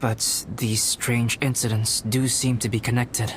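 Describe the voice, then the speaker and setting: An actor playing a calm, cerebral young alchemist in an anime game, measured, formal and analytical. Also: male voice, medium pitch, slight background noise, close to an everyday voice. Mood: composed.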